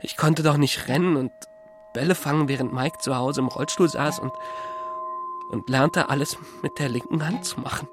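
A man's voice: a little high, moderate pace. A young man giving a dramatized first-person audiobook narration in an agitated manner, slipping between muttering and outbursts. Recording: music behind the voice. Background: music.

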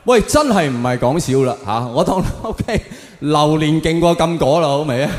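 A man's voice: fairly high pitch, expressive, normal speed, background noise. A man with a Hong Kong Cantonese accent performing a colloquial stand-up monologue, with rhetorical questions and emphatic punchlines. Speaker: stand-up comedian. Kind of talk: stand-up comedy monologue to an audience. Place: on stage in a large hall. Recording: echoey large hall, long reverb.

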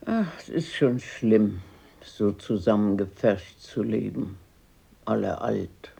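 A woman's voice: very deep voice, takes it slowly, vocal fry, dark tone, slightly rough, full character voice. An actress playing a talkative, bossy but caring old grandmother in a radio drama. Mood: resigned. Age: older. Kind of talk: 1970s German radio play acting.

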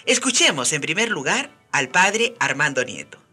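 A woman hosting a radio programme in a scripted, projected, animated presenting style. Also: sits low, normal speed. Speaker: radio host. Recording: music behind the voice. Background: music.

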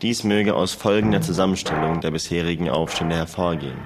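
A man's voice: sits low, fairly even intonation, brisk, very noisy. A man reading historical records aloud as part of a radio play. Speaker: reader of colonial military records. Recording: loud drum music behind the voice. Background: music with drums.

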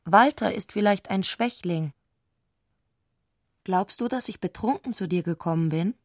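An actress playing an eccentric, excitable woman speaking an intimate letter in intense, abrupt short sentences, in a literary radio play. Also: medium pitch, a little slow, no echo, studio quality, natural voice. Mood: intimate, insistent.

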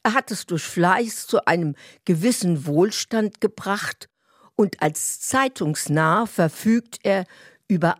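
Female narrator, unhurried, slightly low voice, scripted scene-setting, close dry sound. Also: no room sound. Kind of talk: scripted narration introducing a comedy.

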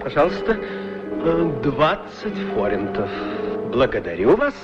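An actor playing a cheerful, polite young opera singer, effusive and courteous, in a radio play. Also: male voice, medium pitch, very animated, takes it slowly, lo-fi sound. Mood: courteous, pleased. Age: young adult. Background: faint music.

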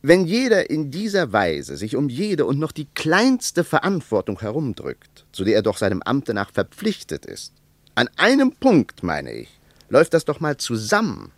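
An actor playing a troubled, reflective West German traveller in a radio play, with an emphatic, rhetorical way of talking. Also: male voice, mid-range voice, wildly swooping pitch, moderate pace, dry recording. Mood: troubled, indignant.

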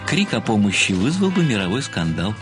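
A man's voice: lowish voice, sing-song, a little fast, over music. An actor playing an earnest, self-satisfied amateur sleuth in an audio drama, admiring yet pedantic, formal and precise in his address. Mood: smug, methodical. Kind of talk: theatrical audio drama dialogue.

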